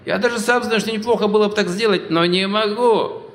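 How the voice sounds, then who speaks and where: Male preacher as obstinate, prideful man, slightly high gravelly voice, reverberant hall. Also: brisk, a bit bright. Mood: stubborn. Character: stubborn, proud man unwilling to bow. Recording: echoey hall with long reverb.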